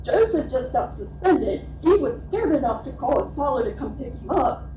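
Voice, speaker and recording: A woman speaking as a parent at a public comment, earnest and halting, with frequent fillers. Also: sits high, animated, mellow, a little hiss or hum. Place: school board meeting room. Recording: echoey meeting room from far off.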